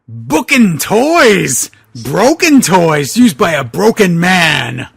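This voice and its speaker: Man putting on an overwrought, excitable monster hero, husky and swooping. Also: high-pitched, slow, no room sound, studio quality, full character voice. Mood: anguished shouting. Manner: overwrought, theatrical exclamations. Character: excitable, melodramatic monster wrestler hero, shouting alarm. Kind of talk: mock-dramatic fan fiction reading.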